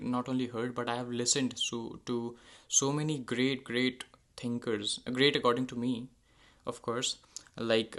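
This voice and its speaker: A man's voice: medium pitch, vocal fry, fairly bright tone. A young man whose first language is Hindi, talking casually to his channel's viewers, with frequent fillers and self-corrections. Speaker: channel host. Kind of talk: casual unscripted monologue.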